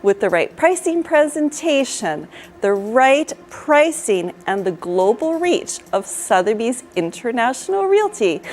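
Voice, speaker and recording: A woman presenting a real estate segment on a local news show, interviewing guests and reading a promo in a measured way. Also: a little high, sing-song, a little mellow.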